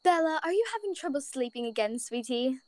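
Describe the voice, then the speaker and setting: A gentle mother rabbit, put on by a woman, soothing her frightened child at night. Her voice is soft and patient, fairly high and lilting, the sound of a bedtime story. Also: animated, fairly quick, no echo.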